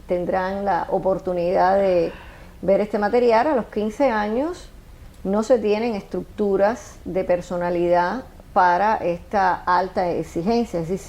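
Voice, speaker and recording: Gravelly-voiced woman hosting an interview. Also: mid-range voice, a little mellow. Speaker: interview host.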